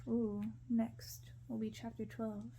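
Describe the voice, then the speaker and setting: A woman reading a story aloud in a gentle, measured ASMR style. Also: a little high, little pitch movement, slight background noise.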